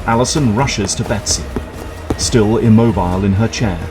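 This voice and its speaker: A man delivers scripted dramatic narration in a fairly low voice. Music plays clearly beneath him as he describes the action. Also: normal speed.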